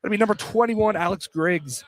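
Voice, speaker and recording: A man's voice: sits high, a little fast, no echo. A man doing casual, informal live play-by-play commentary of a basketball game for radio. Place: courtside at a college basketball game, noise behind.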